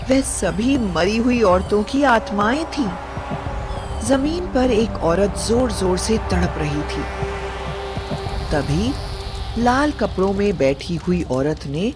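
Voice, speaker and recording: A woman storyteller reading a scripted horror story in a dramatic, deliberate manner. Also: medium pitch. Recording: music bed behind the voice.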